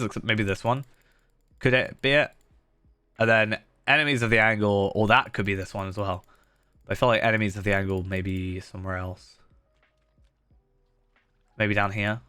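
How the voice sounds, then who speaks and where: Bright-voiced man, stuffy nose. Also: lowish voice, no echo. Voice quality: slightly nasal. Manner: chatty, thinking aloud with many fillers and restarts. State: blocked nose. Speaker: game streamer. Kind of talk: casual live-stream commentary while playing.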